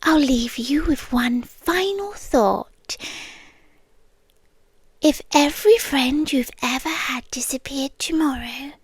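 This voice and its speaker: British woman as a slow, whispering possessive monster girlfriend. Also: high-pitched, no room sound. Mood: tender, menacing. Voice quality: breathy whisper. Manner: slow, hushed, soothing and controlling. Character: possessive, doting female serpent-coiled monster girlfriend, yandere. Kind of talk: whispered ASMR girlfriend roleplay. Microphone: close ASMR microphone.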